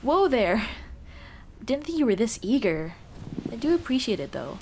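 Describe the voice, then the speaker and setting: A woman's voice: fairly high pitch, big pitch swings, moderate pace, darkish tone, slight background noise. A young woman playing a shy, teasing tomboy childhood friend in love, in a casual, hesitant and intimate ASMR roleplay. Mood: happy, tender. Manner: playful, bashful, hesitant, casual dude-talk. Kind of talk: ASMR roleplay acting, intimate girlfriend scene.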